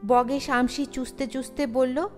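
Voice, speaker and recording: High-voiced woman storyteller in long reverb. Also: fairly even intonation, a little slow. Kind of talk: expressive scripted audio-story narration. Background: music.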